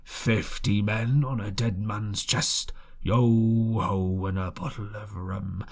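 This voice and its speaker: A man voicing a drunken, villainous old pirate captain in an audiobook, bellowing in slow, drawn-out phrases. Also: on the low side, steady tone, creaky, breathy edge, full character voice. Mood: drunken singing.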